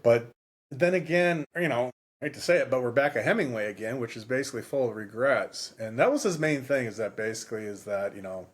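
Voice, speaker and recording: A man's voice: mid-range voice, brisk. Rambling, emphatic man in his fifties. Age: 50s. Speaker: podcast co-host. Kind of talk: casual book discussion.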